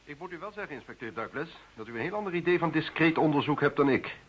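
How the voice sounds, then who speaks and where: An actor playing a polite but firm official with authority in a radio play, courteous in manner. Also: male voice, fairly high pitch, lively intonation, fast talker, a little hiss or hum, close to an everyday voice. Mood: reproachful. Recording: dull lo-fi radio drama recording.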